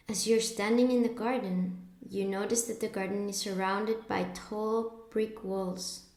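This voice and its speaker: A woman narrating a guided meditation in a measured, instructive way, pausing between short phrases. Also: mid-range voice, darkish tone, reverberant.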